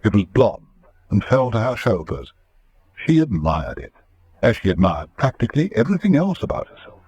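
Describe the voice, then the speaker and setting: A man's voice: lowish voice, sing-song, moderate pace, a little mellow, slightly rough, no echo, dull audio. A man narrating a scripted vintage radio drama in an urbane, wry manner.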